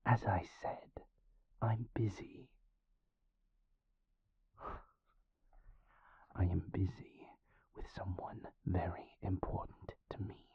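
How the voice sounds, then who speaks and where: A man playing a guarded, world-weary vampire in an intimate audio roleplay, speaking formally and measuredly in a breathy, gravelly near-whisper. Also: sits low, steady tone, no room sound, dull audio, full character voice. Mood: irritated, curt. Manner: formal, measured, clipped, sighing diction.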